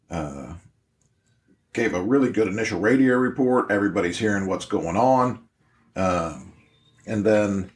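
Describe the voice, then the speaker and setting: A man explaining and reviewing in an informal way, like an instructor, often checking in with "right?". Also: fairly low pitch. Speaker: podcast host and incident command instructor. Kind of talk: casual spoken analysis of fireground radio traffic.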